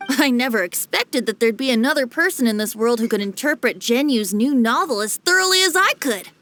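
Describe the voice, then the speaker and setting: A woman's voice: a little high, expressive, brisk, fairly bright tone. A woman voicing a playful young male bard in an anime game, with a light boyish voice and flowery theatrical delivery. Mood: friendly, playful. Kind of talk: anime game dub acting.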